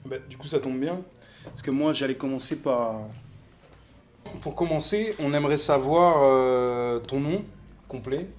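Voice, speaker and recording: A man's voice: mid-range voice, measured pace, a little mellow. A man hosting a podcast, asking guests questions in a friendly, somewhat hesitant way. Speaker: podcast host. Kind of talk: casual interview.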